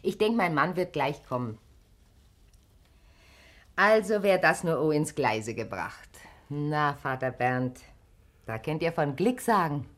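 A woman's voice: sits low, lively intonation, takes it slowly, pauses often. A woman acting a kindly, frank middle-aged wife in a German radio drama, speaking in a motherly, colloquial way. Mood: kindly, welcoming.

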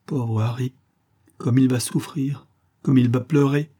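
A man voicing a kindly, sorrowful old nursemaid, speaking slowly and solemnly in a storybook reading. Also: mid-range voice, voice pushed up, natural voice. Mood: tender grief. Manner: slow, calm, pained, solemn.

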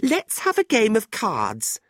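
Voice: mid-range voice, big pitch swings, moderate pace, a little mellow, no echo, clean studio sound, pitched above the performer's own voice. A narrator voicing a sly, spirited young woman in a storybook, with emphatic, swooping speech. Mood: coy.

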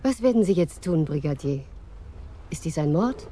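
Young female constable: admiring, keen, warm dark timbre, radio drama. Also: deep voice, animated, normal speed, a little hiss or hum. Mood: eager, admiring. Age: young adult. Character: young policewoman, eager and admiring. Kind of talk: 1980s radio drama acting.

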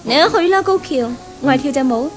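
High-pitched spoilt young rich girl, Hong Kong Cantonese, coquettish, imperious, old radio drama, music behind. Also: animated, a little fast. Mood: bossy, coaxing. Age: young adult.

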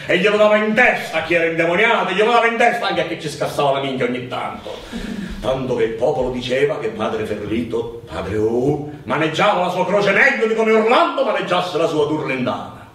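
A man's voice: on the high side, animated, fairly quick. A man performing a theatrical narrative monologue, with a dramatic delivery that swells and drops. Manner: dramatic, swelling from hushed to shouted. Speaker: stage actor and storyteller. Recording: very echoey, distant, faint noise.

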